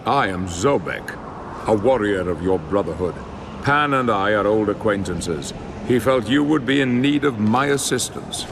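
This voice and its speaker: A veteran warrior of a holy order in a dark game drama. His low voice is measured, formal and grave, as if he knows much. Clear ambient noise sits behind him. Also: expressive. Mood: composed, courteous. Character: composed, knowing veteran warrior of a holy brotherhood. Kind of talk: dramatic cinematic game acting.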